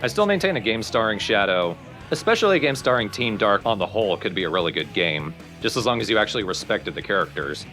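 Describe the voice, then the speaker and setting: A man's voice: lowish voice, animated, fast talker, bright, forceful tone, faint music behind. A man co-hosting a let's play, giving opinions in casual conversational game commentary. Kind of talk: casual gaming commentary chat.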